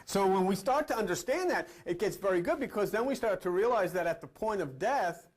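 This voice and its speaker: A man's voice: high voice, lively intonation, a little fast. A man giving an esoteric sermon-style lecture as a spiritual teacher, emphatic, repeating phrases and asking rhetorical questions.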